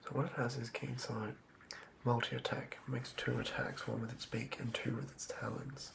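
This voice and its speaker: A man's voice: medium pitch, level tone, normal speed, creaky, noisy background. A man doing casual ASMR, thinking aloud as he writes and often murmuring words over again. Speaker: ASMR channel host. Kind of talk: whispered ASMR, tabletop game monster writing.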